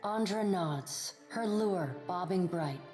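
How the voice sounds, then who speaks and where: A woman's voice: lowish voice, lively intonation, slow. A woman reading scripted fantasy narration as the narrator of a game. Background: music.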